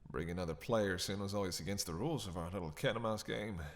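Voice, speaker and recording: A man playing a starving captive vampire in an audio roleplay, halting and breathy, weak, wry and desperate. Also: sits low. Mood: weary, strained. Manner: halting, wry, intimate address to listener. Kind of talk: dramatic audio roleplay monologue.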